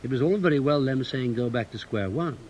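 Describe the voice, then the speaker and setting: Plain-spoken spy played by a man, mid-pitched. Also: lively intonation, fairly quick, a little mellow, muffled recording, slight background noise, lightly acted. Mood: reflective, uneasy. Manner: plain-spoken, questioning, reflective. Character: probing, matter-of-fact British intelligence officer and narrator. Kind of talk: radio drama acting, first-person narration.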